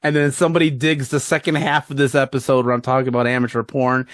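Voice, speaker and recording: A man's voice: mid-range voice, little pitch movement, fast, no room sound, studio quality. A man with a Midwestern accent hosting a podcast in casual chat, rambling, repeating himself and laughing often. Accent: Midwestern American. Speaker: podcast host.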